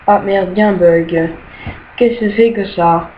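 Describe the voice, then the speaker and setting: Hesitant, slow-talking woman on a lo-fi mic. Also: mid-range voice, steady tone. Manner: hesitant, many "euh" fillers, easily annoyed by bugs. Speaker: gamer showing her rooms. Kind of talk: casual screen-recorded game tour. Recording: dull lo-fi home mic with faint hiss.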